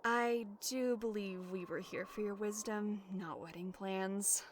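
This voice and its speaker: A woman playing a polite, composed companion who speaks formally and in a measured way, in a fantasy audio drama. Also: medium pitch, steady tone, slight background noise, close to an everyday voice.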